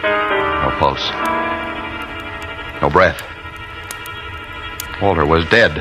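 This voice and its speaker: A man's voice: extremely low pitch, drawn-out words, full character voice. An actor playing a guilty, tense man on the run in a hard-boiled 1940s radio thriller, faltering when nervous. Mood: tense, haunted. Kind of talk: 1940s radio thriller acting, first-person narration. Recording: vintage radio with loud dramatic music.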